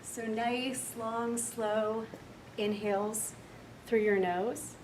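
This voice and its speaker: A woman counsellor with a quiet voice leading a slow, gentle guided meditation for a workshop audience. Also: a little high, steady tone, slight background noise. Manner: soft, slow, gentle and unhurried. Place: large echoey room with an audience. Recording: echoey room recorded from far off.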